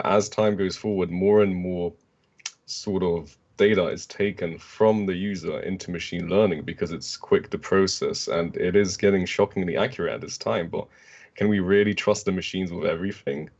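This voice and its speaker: Low-voiced man co-presenting on a video call, fluent and relaxed, dull audio. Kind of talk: online conference talk.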